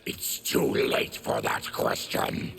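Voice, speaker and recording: An actor voicing a fierce, grave male beast warrior in a video game, with a gravelly voice. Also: slow, fairly bright tone, faint music behind. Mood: grim.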